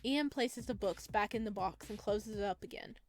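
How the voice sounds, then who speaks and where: A woman reading a visual novel aloud as a let's play host, dropping chatty, expressive reactions between the narration. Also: medium pitch, moderate pace, faint music behind.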